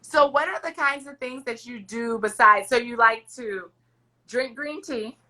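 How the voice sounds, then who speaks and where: Bright, raspy woman hosting a podcast. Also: on the high side, unhurried. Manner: loud, emphatic and upbeat. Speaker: podcast host. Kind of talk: encouraging casual interview chat.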